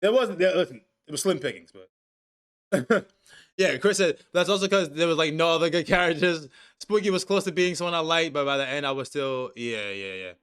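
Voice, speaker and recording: A man's voice: a little high, fairly quick, a bit bright, smooth. A young man co-hosting a casual anime discussion stream, profane and loose, reading chat messages aloud and restarting his sentences. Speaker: stream co-host.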